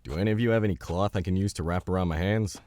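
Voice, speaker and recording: A man playing a gruff, bossy, battle-scarred survivalist in an audio drama, blunt, teasing and swearing. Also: sits low, rapid-fire, a little mellow, no echo, close to an everyday voice. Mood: bossy, confident.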